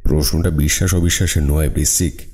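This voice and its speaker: A man voicing a calm, logical detective who speaks in a measured way. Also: rumbling low, husky. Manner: measured, rational.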